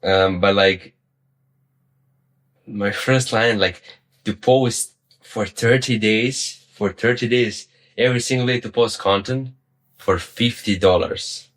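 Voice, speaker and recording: A man's voice: on the low side, slow pace, long pauses, fairly bright tone. A young man telling his own story as a vlogger, rambling, repeating words and swearing casually. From Serbia. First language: Serbian. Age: young adult. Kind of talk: personal story to camera.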